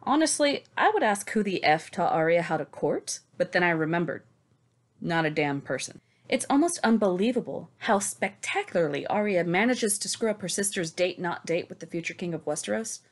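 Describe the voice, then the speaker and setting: A woman's voice: fairly low pitch, lively intonation, brisk. A woman co-hosting a book discussion, casual and sweary, with a sarcastic, emphatic delivery. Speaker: podcast co-host giving recaps. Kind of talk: sarcastic, scripted-sounding book recap and discussion.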